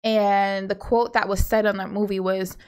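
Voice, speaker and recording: A woman's voice: medium pitch, little pitch movement, normal speed, a little mellow, clear, resonant tone. A woman from Hawaii co-hosting a casual podcast with her husband, rambling through her points with lots of asides and fillers. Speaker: podcast co-host. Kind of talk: casual opinionated chat.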